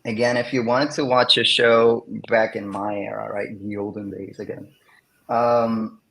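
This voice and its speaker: A man's voice: fairly low pitch, level tone, unhurried, vocal fry, fairly bright tone, slight background noise, rough recording. A man, an English teacher, answering questions in an academic podcast interview and explaining ideas with rhetorical questions. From Puerto Rico. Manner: explains with rhetorical questions and frequent tag "right?".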